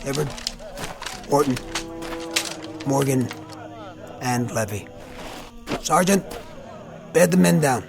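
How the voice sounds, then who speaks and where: Troubled american army lieutenant, weary n intense, gravelly voice, radio drama w music under it. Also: male voice, mid-range voice, animated. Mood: firm, commanding. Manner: brooding, halting, intense.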